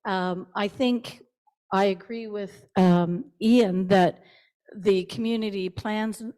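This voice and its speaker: A woman speaking from the floor at a church meeting, earnest and halting with many fillers, into a handheld microphone. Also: mid-range voice, steady tone, warm tone. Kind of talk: public comment at a parish meeting.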